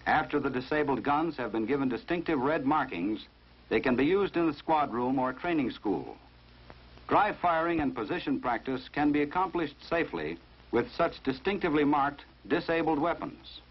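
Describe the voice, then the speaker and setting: American guy narrating an old 50s training film, formal n authoritative, husky voice, dull old soundtrack. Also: a little high, animated, moderate pace. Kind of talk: 1950s instructional film narration, scripted. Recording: dull, muffled vintage 1950s film soundtrack.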